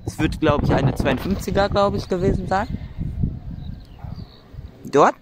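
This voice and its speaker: A man's voice: high-pitched, unhurried. A man narrating casually from behind his phone camera as he walks an open hilltop wind farm, musing aloud with frequent fillers. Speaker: wind turbine vlogger. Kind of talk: casual spoken commentary while filming. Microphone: phone camera mic, speaker behind the camera. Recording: outdoor wind and ambient noise.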